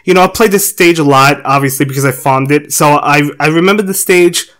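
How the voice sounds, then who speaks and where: A young man with a cold, hoarse, casually commenting on a mobile puzzle game as the channel host, rambling with many fillers and restarts. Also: a little high, a little fast, a bit bright.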